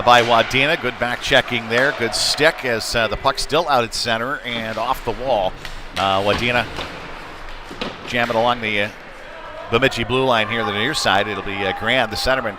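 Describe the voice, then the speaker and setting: A man doing live play-by-play commentary of a youth hockey game in a conversational, somewhat hesitant way. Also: mid-range voice. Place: indoor ice hockey rink. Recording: noisy ice rink ambience. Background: clear rink noise and ambience.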